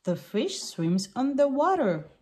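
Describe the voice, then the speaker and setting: A woman reading a picture book aloud for small children in simple, deliberate sentences. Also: high voice, very animated, dark tone.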